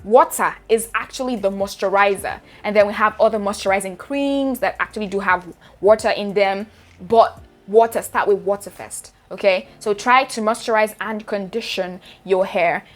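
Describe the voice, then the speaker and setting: A woman's voice: mid-range voice, normal speed. A young Nigerian woman with a Nigerian accent, giving chatty, direct hair care advice to her viewers in a casual vlog. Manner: chatty, direct, addresses viewers as sis and guys.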